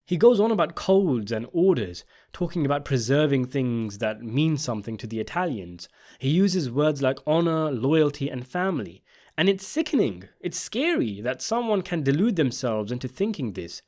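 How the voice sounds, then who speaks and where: A man's voice: medium pitch, very animated, normal speed, darkish tone. A man narrating a scripted video essay, giving opinionated commentary on a television drama.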